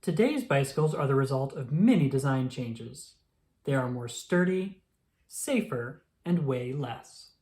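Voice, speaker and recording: A narrator reading a children's picture book aloud in a clearly enunciated, instructional storytelling manner. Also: medium pitch, expressive, unhurried, darkish tone.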